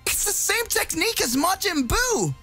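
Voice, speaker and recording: An excitable young male fighter, as a man puts him on, pitched very high and boyish. The delivery is bright and jumps around a lot. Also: moderate pace, faint music behind, exaggerated voice. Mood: excited.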